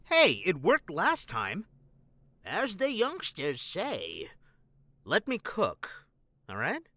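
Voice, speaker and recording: An actor playing a cocky, playful undercover operative in an audio drama, with a theatrical, teasing delivery. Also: male voice, high voice, wildly swooping pitch, pushed and bright, no room sound, full character voice. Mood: teasing, upbeat. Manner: theatrical, joking, drawn-out delivery.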